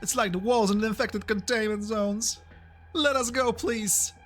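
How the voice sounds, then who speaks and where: A man's voice: high-pitched, steady tone, normal speed, fairly bright tone, soft background music. A man in an amateur fan dub playing a desperate, frightened pioneer who is cornered, agitated and pleading. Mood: panicked pleading.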